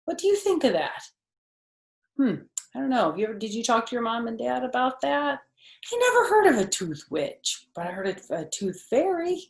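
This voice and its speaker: A woman's voice: on the high side, very animated, normal speed, creaky. Grandmotherly woman hosting storytime, echoey room. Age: older. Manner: conversational, addresses young listeners with questions. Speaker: children's story reader. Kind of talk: children's storytime narration. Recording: roomy, echoey home recording.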